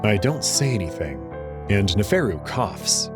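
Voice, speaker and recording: A man's voice: lowish voice, very animated, measured pace, over music. A man playing an uneasy young student who narrates a visual novel in the first person, introspective and hesitant, acting out his own dialogue. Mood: brooding, subdued.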